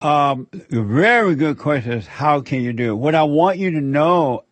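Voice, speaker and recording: A man hosts a call-in program and leans into repetition as he urges listeners on. His voice sits a little high, and the sound is dry and close to the mic. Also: lively intonation, normal speed, no echo. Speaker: radio call-in show host. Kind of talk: preachy call-in talk.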